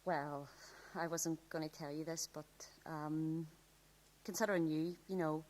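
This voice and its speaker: A lovestruck teenage girl in a radio drama. Her voice sits slightly low for a female, and she speaks openly and intimately, with natural hesitations. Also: steady tone, a little slow, a little mellow, rough recording. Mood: shy, nervous. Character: Cassidy, lovestruck teenage girl, open and tender.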